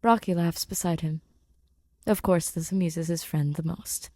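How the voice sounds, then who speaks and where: A woman's voice: lowish voice, steady tone, measured pace, mellow, no echo, clean studio sound. A woman reading fan fiction aloud as a podfic narrator, in scripted storytelling style.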